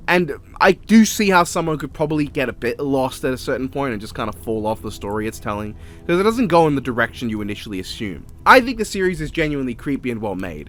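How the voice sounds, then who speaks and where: A man's voice: mid-range voice, fairly bright tone. An Australian man giving a casual, opinionated and sweary video-essay review as a voice-over.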